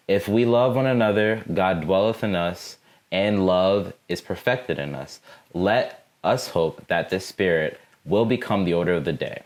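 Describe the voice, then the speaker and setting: A man giving a solemn, measured dramatic reading of a speech in an oratorical style. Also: low voice. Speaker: reader in a student theatre performance.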